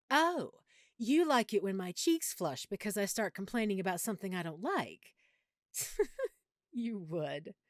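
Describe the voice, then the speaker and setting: Woman acting as nerdy affectionate girlfriend in audio rp, super animated pitch, dry close sound, playful and a bit fussy. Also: no room sound, close to an everyday voice. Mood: teasing, fond. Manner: playful, fussy, expressive, explanatory. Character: affectionate, nerdy, opinionated girlfriend, vampire lore buff. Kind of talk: audio roleplay, intimate one-sided conversation.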